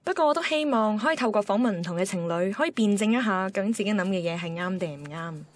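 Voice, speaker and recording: An actress playing a driven, blunt young radio assistant with a Hong Kong Cantonese accent, in a radio drama. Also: female voice, mid-range voice, expressive, fast talker, dry recording, clean studio sound, natural voice. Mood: wry narrating. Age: young adult. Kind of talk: radio drama narration and banter.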